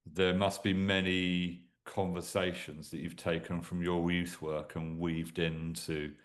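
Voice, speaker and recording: A man's voice: low-pitched, flat delivery, measured pace. A man hosting a podcast interview, asking questions and encouraging the guest with short interjections. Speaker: podcast host.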